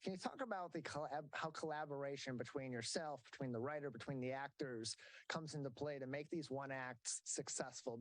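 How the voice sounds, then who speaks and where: A man's voice: fairly high pitch, no room sound, poor audio. Dry-sounding male interview host. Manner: long multi-part questions with fillers.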